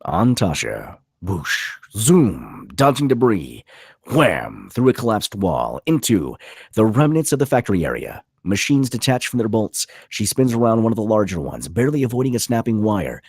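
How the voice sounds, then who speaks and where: Man, dramatic stage-direction narration, lowish raspy voice, punchy phrasing, dry recording. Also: sing-song, moderate pace, darkish tone, no echo. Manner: punchy, clipped delivery with voiced sound effects.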